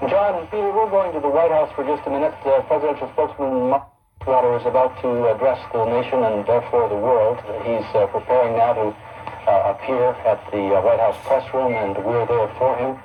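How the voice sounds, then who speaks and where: A man's voice: medium pitch, fairly even intonation, brisk, narrow, tinny audio. A man anchoring live news, ad-libbing as he goes, relayed over shortwave radio. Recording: thin, distant shortwave radio with static.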